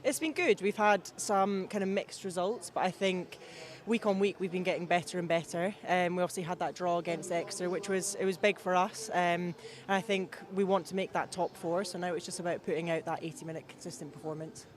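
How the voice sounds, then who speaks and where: A woman rugby player giving steady, measured answers in a pitchside interview. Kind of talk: sports interview. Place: outdoors at a rugby stadium.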